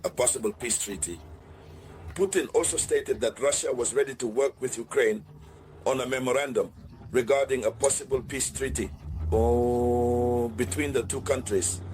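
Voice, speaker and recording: A man presents political analysis as the host of an online news channel. He addresses his viewers directly in a mid-pitched voice. His delivery is deliberate, and he often restates key phrases to stress them. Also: darkish tone.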